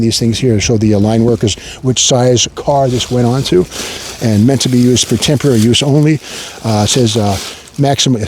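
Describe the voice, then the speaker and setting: A man's voice: mid-range voice, a little mellow, slight background noise. A man hosting an unscripted walkaround car commentary in a rambling, repetitive way with wry asides. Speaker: car show host.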